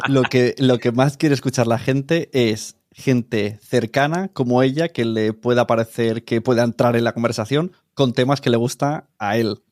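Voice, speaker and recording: A man's voice: mid-range voice, measured pace, darkish tone, no echo. Male podcast guest with an accent from Spain, mid-range, answering casually in a very dry recording.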